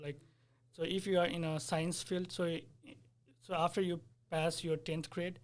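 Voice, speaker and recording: Young man, flat and earnest. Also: on the high side. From Nepal. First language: Nepali. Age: 20s. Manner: earnest, explanatory, searching for words. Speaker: software developer, interviewee. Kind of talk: casual interview.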